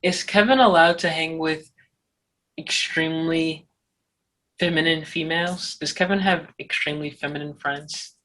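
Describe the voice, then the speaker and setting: A woman co-hosts a casual podcast. Her voice is low enough that people often take it for a man's, but its tone is bright and lively. She talks hesitantly and restarts her sentences often. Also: normal speed, poor audio. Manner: hesitant, many restarts and fillers. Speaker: podcast co-host.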